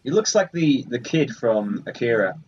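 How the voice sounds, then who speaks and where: A man's voice: medium pitch, big pitch swings, moderate pace, a little mellow, slightly rough. Man, casual let's play host, rambling delivery, dull audio, faint game music. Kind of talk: casual gameplay commentary. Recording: muffled sound, game music behind. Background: video game music.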